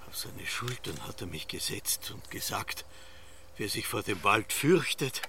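A man's voice: fairly low pitch, lively intonation, a little slow, noisy background. A middle-aged actor with an Austrian accent playing an obsessed, embittered farmer father in a literary radio drama, brooding and incantatory in manner. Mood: brooding recollection.

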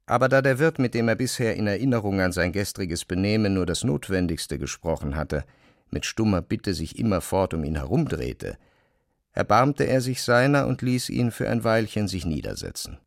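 A man's voice: fairly low pitch, big pitch swings, moderate pace, vocal fry, no room sound. A man reading scripted literary narration as the narrator of a classic novel, recorded close in a studio.

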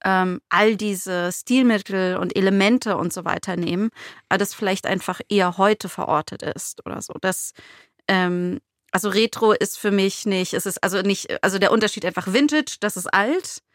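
A woman's voice: mid-range voice, moderate pace, no echo, studio quality. A woman co-hosting a podcast in casual chat, informally thinking aloud as she goes. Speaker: podcast co-host.

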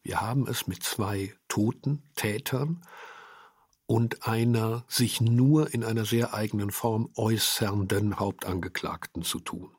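A male radio drama director talking reflectively in an interview, with emphatic, halting phrasing. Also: on the low side, slightly rough, no echo, studio quality.

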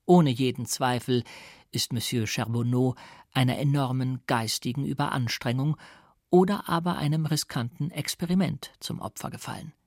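A man reading literary fiction as an audiobook narrator, in a measured, carefully articulated storytelling manner. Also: mid-range voice, a little mellow, dry recording, clean studio sound. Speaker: audiobook reader.

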